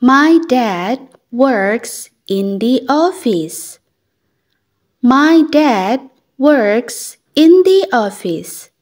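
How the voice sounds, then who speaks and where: Brief: young woman teaching kids, deliberate drill delivery, bright high voice, very slow and sing-song. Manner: clear, deliberate, lively intonation. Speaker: English teacher for children. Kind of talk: slow repeated phrase drills with translations.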